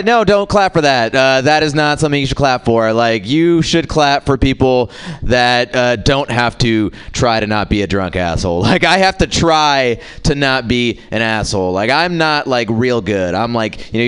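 A man's voice: mid-range voice, expressive, moderate pace, fairly bright tone, smooth. A man doing a stand-up set at an open mic, self-deprecating and rambling, with many fillers. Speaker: stand-up comedian.

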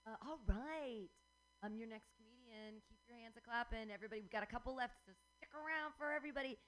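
A woman's voice: fairly high pitch, fast, a bit bright, slight background noise, low-quality recording. A woman hosting a comedy open mic, cheerily hyping the crowd and introducing the next comedians. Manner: cheery hype.

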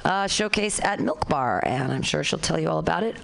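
A woman's voice: low-pitched, lively intonation, a little fast, gravelly. Hesitant woman emcee, full of fillers. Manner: hesitant, many fillers and restarts. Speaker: show host and comedy showcase emcee. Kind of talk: casual feedback chat.

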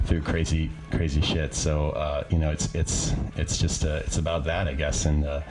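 A man's voice: a bass voice, little pitch movement, normal speed, heavy background noise. A man, a band leader, chatting as a guest in a small radio studio, joking and rambling with restarts. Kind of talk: casual radio interview. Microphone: old studio microphone, hand-held.

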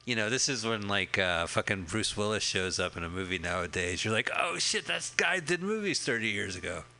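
A man's voice: on the low side, expressive, a little fast, bright, forceful tone. A man co-hosting a podcast, chatting casually and jokingly about a film as it plays, swearing often and rambling. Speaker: podcast co-host. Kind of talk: casual movie commentary chat.

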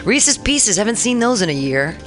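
A woman's voice: mid-range voice, very animated, normal speed, music in the background. A woman hosting a casual talk radio show, loose and chatty, swearing freely between segments.

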